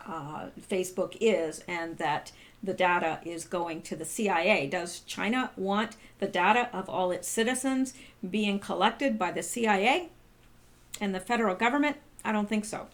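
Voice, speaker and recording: A woman hosting her channel, reading out posts and commenting in a casual, halting way full of rhetorical questions. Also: a little high. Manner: conversational, many fillers and restarts, rhetorical questions. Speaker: channel host.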